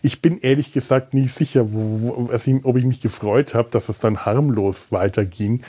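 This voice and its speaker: A man's voice: medium pitch, fairly even intonation, normal speed, mellow. A man hosting a podcast in a casual, rambling solo monologue, hesitant and thinking aloud, with a wry, self-deprecating manner. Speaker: podcast host. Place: indoors on a sofa.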